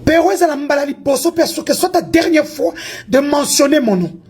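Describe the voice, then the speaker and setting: A woman from Congo speaks in a high, pointed voice. She is heated and confrontational, taunting her target as she mixes in a second language. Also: animated, fairly quick, a little mellow, slight background noise. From Congo (DRC). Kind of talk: heated online rant.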